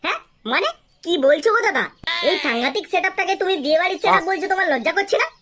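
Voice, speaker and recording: A woman's voice: extremely high pitch, expressive, racing, music in the background. A woman voicing an eager, chatty young vlogger in a cartoon, with a West Bengal accent. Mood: indignant. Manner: chattering, eager presenter patter.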